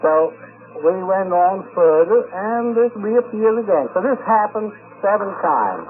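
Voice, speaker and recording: A man being interviewed on location, telling a personal account in a halting, rambling way. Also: high-pitched, animated, slight background noise. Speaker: convention attendee being interviewed. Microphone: shared interview microphone. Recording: tinny 1950s radio field recording.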